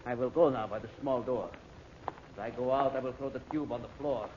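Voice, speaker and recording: A man's voice: mid-range voice, fairly quick, darkish tone, natural voice. Megalomaniac scientist villain male actor, radio serial: grandiose, cruel, declamatory. Dull, slightly noisy vintage sound. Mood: angry, threatening. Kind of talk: old-time radio serial acting. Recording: muffled old radio recording with noise.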